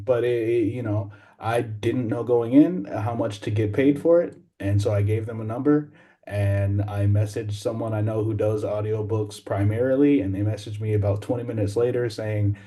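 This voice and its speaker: A man with a mellow, relaxed tone and a fairly low pitch chats casually on a podcast. He is a voice actor telling anecdotes in an informal way. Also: normal speed. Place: in a library.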